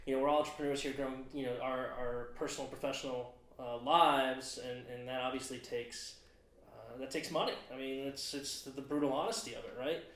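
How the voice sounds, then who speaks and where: A man in his twenties hosts a relaxed podcast conversation at a middle pitch, circling his points with plenty of "you know" and "uh". The sound carries a noticeable roomy echo. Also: brisk. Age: 20s. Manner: rambling, thinks aloud with many fillers. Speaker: podcast host. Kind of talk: casual chat.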